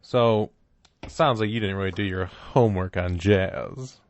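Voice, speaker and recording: A man's voice: fairly low pitch, measured pace. A man co-hosting a comedy podcast, trading dry, teasing banter in casual chat. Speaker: podcast co-host.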